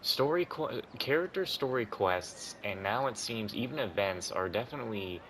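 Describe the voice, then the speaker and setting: A man's voice: fairly low pitch, animated, normal speed, a little hiss or hum, rough recording. A man casually commenting on a game playthrough, with dry, wry asides. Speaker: gameplay commentator. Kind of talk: casual playthrough commentary, reading lines aloud. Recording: voice over loud game music.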